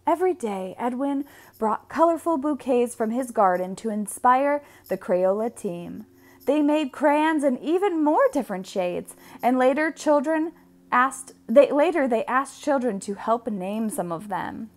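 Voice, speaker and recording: A woman storyteller reads a children's picture book in a fairly high voice that moves up and down in lively swings. She takes her time, leaning on key words and drawing them out for emphasis. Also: a little slow. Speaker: read-aloud storyteller.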